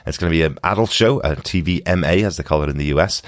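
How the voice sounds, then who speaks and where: A man's voice: extremely low pitch, quick pace, no echo, studio quality. A man hosting a podcast, casually talking through entertainment news in an explanatory way with hesitant phrasing. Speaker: podcast host.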